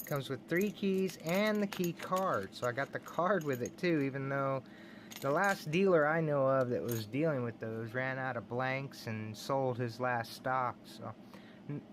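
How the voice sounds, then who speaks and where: A man with a Texan drawl talks in an easygoing, rambling way and keeps breaking into small chuckles. His pitch sits in a middle range. A faint hiss runs under a plain camera-mic recording made at home. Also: measured pace, fairly bright tone. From Texas. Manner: enthusiastic, rambling, says y'all, chuckles often. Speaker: lock collecting channel host. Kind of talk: casual show-and-tell vlog.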